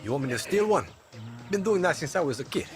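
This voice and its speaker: An actor playing a cocky, sarcastic guerrilla fighter in a video game. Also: male voice, a little high, sing-song, quick pace. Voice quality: sarcastic, offhand. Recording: game music loud behind.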